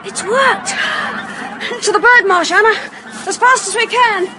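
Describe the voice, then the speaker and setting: A brave british lad in a radio play, high voice, urgent, bit of background noise. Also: male voice, unhurried, sharp tone, some chatter behind, exaggerated voice. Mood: tense, excited. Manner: urgent, decisive, well-spoken. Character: plucky, quick-thinking boy leading an escape. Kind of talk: British radio drama acting.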